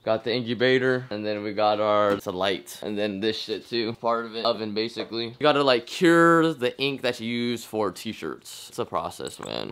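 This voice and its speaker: A man's voice: medium pitch, a bit bright, clear, resonant tone, no room sound. A young man in his twenties vlogging casually from his garage and backyard, rambling loosely in slang with lots of swearing, talking into a handheld camera. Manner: laid-back slangy rambling, frequent swearing. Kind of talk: casual vlog chat. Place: home garage, backyard chicken pens and bedroom. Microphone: handheld camera held close.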